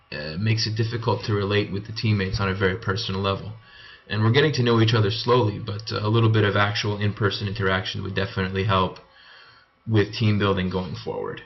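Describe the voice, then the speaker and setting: A man's voice: lowish voice, fairly quick, highs cut off. A young Canadian man giving an informal student presentation. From Canada (Montreal). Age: young adult. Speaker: MBA student presenting a team report.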